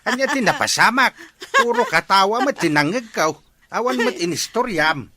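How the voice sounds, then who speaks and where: Nosy uncle shopkeeper, bright voice, swoopy, radio drama. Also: male voice, high voice, normal speed, no room sound, full character voice. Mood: amused prodding. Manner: inquisitive, animated. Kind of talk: comic radio drama acting.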